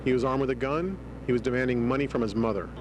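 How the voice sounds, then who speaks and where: A male sheriff's spokesman gives a formal account of an incident. His pitch is average, and he is recorded outdoors with clear street and car noise. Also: quick pace. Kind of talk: formal incident briefing to press. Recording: noisy outdoor news sound bite.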